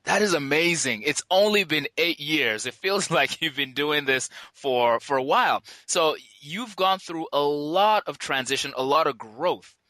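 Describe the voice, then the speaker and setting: A male podcast host with an upbeat, encouraging way of steering his guest. His voice is bright and fairly high, with a lively rise and fall. The sound is close and dry. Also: a little slow, hardly any pauses, no echo. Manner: upbeat, encouraging, leading questions. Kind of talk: interview host.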